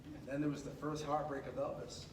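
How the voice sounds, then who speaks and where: A man reading a children's picture book aloud in an animated, dramatic way, pausing and stretching words for emphasis. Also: mid-range voice. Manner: dramatic, with pauses and drawn-out emphasis. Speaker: radio host reading a storybook aloud. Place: echoey room at a school. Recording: echoey room, distant mic, clear background noise.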